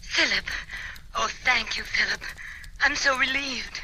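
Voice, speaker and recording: High, gushing, flirtatious radio dame. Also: female voice, a little slow, bright, forceful tone. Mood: relieved, grateful. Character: grateful, flirtatious woman friend of a detective. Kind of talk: old-time radio drama acting. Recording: noisy vintage radio recording.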